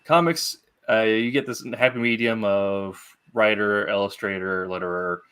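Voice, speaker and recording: Slightly deep-voiced man hosting an interview. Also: moderate pace, fairly bright tone, smooth. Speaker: interview host. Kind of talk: casual interview chat.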